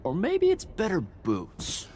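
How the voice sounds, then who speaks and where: An actor playing an irreverent young street thief in a video game, bantering casually and sardonically. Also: male voice, high-pitched, wildly swooping pitch, slow, a little mellow, slight background noise, full character voice. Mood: wry, questioning.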